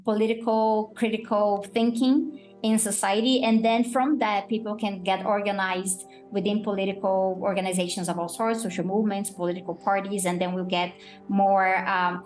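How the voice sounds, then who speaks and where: A woman's voice: medium pitch, low-quality recording. Woman explaining things conversationally, faint music. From Brazil. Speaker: interview guest, academic and YouTuber. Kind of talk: casual, thoughtful conversation.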